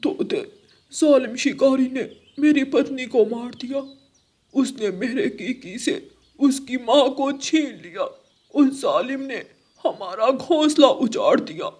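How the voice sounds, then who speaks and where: A woman's voice: high voice, expressive, takes it slowly, darkish tone, husky, exaggerated voice. A woman voicing a worried, devoted male pigeon, a husband and father, in an earnest, melodramatic Hindi cartoon story. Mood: grieving. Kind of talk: Hindi animated moral story dubbing.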